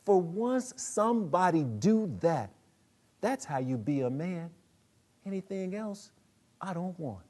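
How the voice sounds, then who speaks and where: A man's voice: sits high, lively intonation, slow pace, darkish tone, pitched above the performer's own voice. A man voicing an earnest, pleading 35-year-old woman in a dramatic play reading, with emphatic vernacular phrasing.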